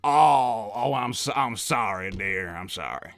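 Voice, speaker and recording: A man's voice: fairly low pitch, lively intonation, unhurried, a bit bright, dry recording, soft background music. A man voicing a genial, graying cartoon hedgehog who rambles hesitantly and apologizes as he is interviewed. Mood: apologetic. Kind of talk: improvised in-character interview.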